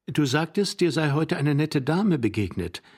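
A man's voice: a little high, very animated, normal speed, darkish tone, husky, voice pushed up. A man voicing an old man's young wife in an audiobook, coaxing and easily bored. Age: young adult. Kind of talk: audiobook character voice.

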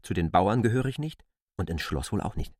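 An actor playing a polite but persistent newcomer to a village in a literary radio play. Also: male voice, on the low side, expressive, brisk, darkish tone, no echo, studio quality. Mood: polite, earnest.

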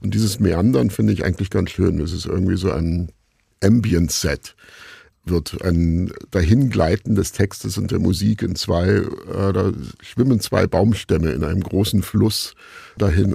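Low gravelly man, reflective interview talk. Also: dark tone, no room sound, clean studio sound. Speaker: interviewee, radio play maker.

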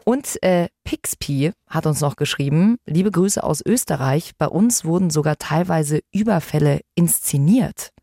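A woman's voice: low voice, animated, measured pace, hardly any pauses, warm tone, dry recording, studio quality. A woman presenting a podcast in a chatty, informal way, speaking directly to her listeners. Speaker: podcast host, radio presenter.

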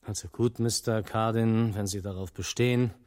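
Low-voiced, cynical small-time crook acted by a man. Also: steady tone, moderate pace, a little mellow, close to an everyday voice. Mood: sardonic. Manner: blunt, sardonic, probing. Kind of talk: dubbed film drama acting.

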